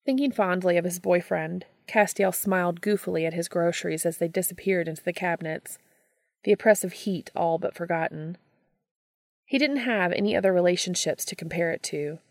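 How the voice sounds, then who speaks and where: A woman's voice: lowish voice, vocal fry, darkish tone, dry recording, clean studio sound. A woman reading a story aloud as scripted audiobook narration. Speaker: audiobook reader of fan fiction.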